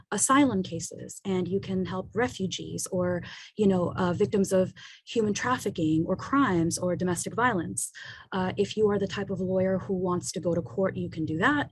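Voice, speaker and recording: An American woman speaks as a guest in a casual interview, explaining things thoughtfully and often filling pauses. Her voice sits a little low and carries a breathy, husky edge. The recording is dry, with little room sound. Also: a little mellow, no room sound, low-quality recording. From United States. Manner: thoughtful, many fillers like um and you know. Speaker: immigration lawyer, guest.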